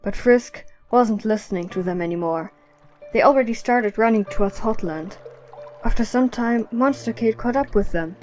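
A woman's voice: on the high side, normal speed, a little mellow, soft background music, rough recording. A woman narrating a scripted audio drama as its storyteller.

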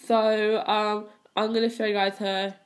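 A teenage girl talks through her game with a stuffy nose from a head cold, tired and hesitant, filling gaps with ums and restarting sentences. Her pitch sits in the middle for a girl, and she sounds like she is filming her console screen with a phone. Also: level tone, unhurried. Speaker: gaming channel host. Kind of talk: casual gameplay commentary. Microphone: phone filming a handheld console screen.

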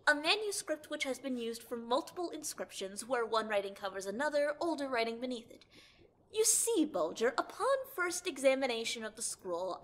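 A woman's voice: on the high side, fairly bright tone. A woman voicing a pompous, grandly self-assured boy scholar in an audio drama, theatrical and formal.

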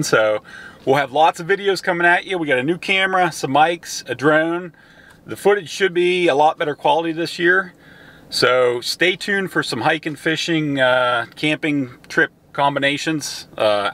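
A man's voice: mid-range voice, fairly bright tone. A man in his thirties, a fishing vlogger, chatting casually to the camera from his truck with an easygoing manner and plenty of "uh" fillers. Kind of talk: casual vlog narration to camera. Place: inside a pickup truck, on the road and by the water. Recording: engine and road noise behind.

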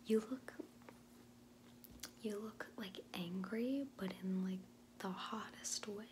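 A woman's voice: mid-range voice, background noise, natural voice. A woman in her twenties playing a sassy, condescending makeup artist in a whispered ASMR roleplay. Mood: sassy, defensive. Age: 20s. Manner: sassy, condescending, hesitant valley-girl phrasing with drawn-out words. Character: sassy, condescending young female makeup artist, dismissive and defensive. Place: small indoor room at home, in front of a door.

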